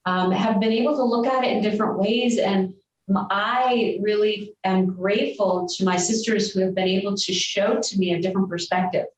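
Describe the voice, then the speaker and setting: A woman's voice: mid-range voice, steady tone, normal speed. A woman, a religious sister, sharing earnest and thoughtful reflections in a group talk. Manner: earnest, thoughtful, warmly agreeing. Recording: very echoey room, distant mic, faint noise.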